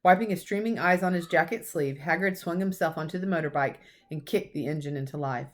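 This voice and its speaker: A woman with a fairly low voice reads a children's storybook aloud. She narrates expressively, as a teacher does for young listeners. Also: a little fast.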